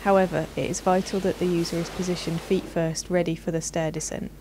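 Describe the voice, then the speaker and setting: A woman reads scripted instructional narration in a measured, formal, clearly enunciated manner. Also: fairly low pitch, level tone, background noise. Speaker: narrator of a product training video.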